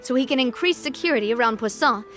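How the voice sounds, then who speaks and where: A woman's voice: on the high side, expressive, fast talker, a bit bright. A woman voicing a young woman who leads a group in a video game, firm, composed and sincere. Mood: brisk. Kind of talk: game voice acting. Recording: game audio with faint music behind.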